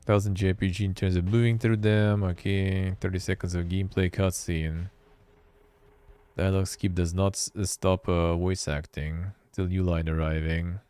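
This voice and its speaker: Low-voiced man muttering stream commentary. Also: flat delivery, moderate pace, mellow, clean tone. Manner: offhand, muttering, reads text aloud with wry asides. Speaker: streamer and show host. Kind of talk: casual live-stream commentary.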